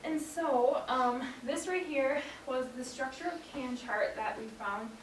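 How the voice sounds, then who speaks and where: A woman's voice: sits high, unhurried. Woman presenting in an echoey classroom. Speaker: student presenter. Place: large dim classroom. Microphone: far camera. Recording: echoey room from far off, noisy.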